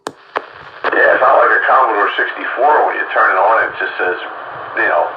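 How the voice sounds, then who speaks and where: A man with a fairly low voice chats casually over a radio link. He explains things in a rambling way, with a muffled, roomy sound and very heavy static around him. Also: moderate pace. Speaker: radio round-table participant. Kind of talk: casual chat.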